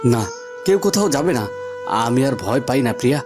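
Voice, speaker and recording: Guy playing young village romantic hero, passionate and sincere, mid pitch, music bed, cartoon. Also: very animated, moderate pace, natural voice. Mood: resolute. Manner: impassioned, earnest. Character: earnest young lover, village headman's son. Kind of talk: cartoon voice acting.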